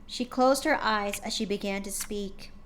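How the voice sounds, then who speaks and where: A woman streamer talking casually with her chat and narrating a fanfic, often halting with fillers and restarts. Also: medium pitch, slight background noise.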